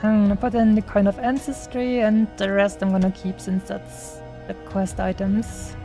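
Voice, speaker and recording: A woman's voice: medium pitch, flat delivery, normal speed, over music. A young woman from Germany with a German accent, chatting casually and playfully while streaming a game, often laughing and using fillers. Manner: giggly, playful, lots of fillers. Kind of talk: casual gameplay chat.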